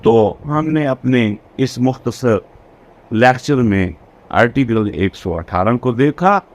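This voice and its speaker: A man giving a recorded law lecture. He reads English passages slowly and then restates them in his own words. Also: mid-range voice, expressive, lo-fi sound.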